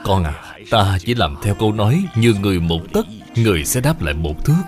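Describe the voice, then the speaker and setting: A man voicing a kindly, wise father and dutiful official in a cartoon, measured and moralizing. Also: fairly low pitch, animated, a little mellow, soft background music, lightly acted. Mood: gentle, instructive. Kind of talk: cartoon dub acting.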